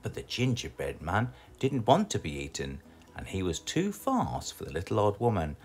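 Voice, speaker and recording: A British man reading a children's storybook aloud in an expressive, scripted storytelling style. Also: low-pitched, moderate pace, slightly rough. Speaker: storybook reader.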